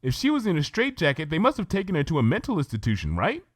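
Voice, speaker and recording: An inquisitive young unicorn mare played by a man in a cartoon story reading. The voice is raised in pitch and close and dry, with an earnest, questioning manner. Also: wildly swooping pitch, fast, no echo. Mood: curious.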